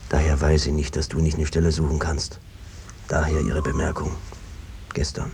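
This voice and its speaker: Sly hesitant farmer brother, really deep soft-edged voice, old fifties radio play, bit of noise. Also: male voice, little pitch movement, normal speed, creaky. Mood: evasive, uneasy. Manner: hesitant, roundabout, cajoling. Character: evasive, henpecked peasant brother nudging his sister out. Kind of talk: 1950s German stage-style radio drama acting. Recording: noisy old 1950s radio recording.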